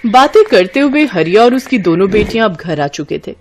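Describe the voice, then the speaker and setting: A woman's voice: mid-range voice, sing-song, moderate pace, slight background noise. A woman narrating a scripted story as a storyteller.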